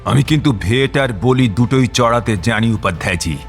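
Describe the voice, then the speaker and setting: An actor playing a short-tempered, threatening timber contractor in an audio drama, with a snarling, contemptuous manner. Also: male voice, medium pitch, expressive, normal speed, faint music behind, close to an everyday voice. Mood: quiet menace.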